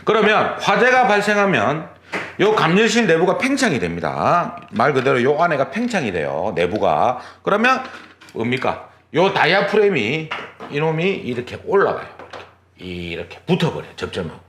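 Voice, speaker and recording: A man teaching a certification exam class, his pitch rising and falling widely as he walks through each step. He repeats key terms, points at his drawing, and checks that students follow. The room is echoey, and his voice sits slightly high. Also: huge pitch swings, normal speed, a bit bright. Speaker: exam prep instructor. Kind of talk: lecture, drawing on a board.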